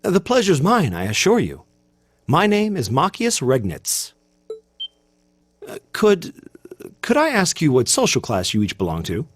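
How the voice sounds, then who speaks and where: A man's voice: on the high side, huge pitch swings, measured pace, long pauses, husky, no echo, heavily acted. An American man voicing a stiff, proud, bookish teenage cadet in a video game, formal and hesitant. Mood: formal, curious. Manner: formal, hesitant, self-conscious.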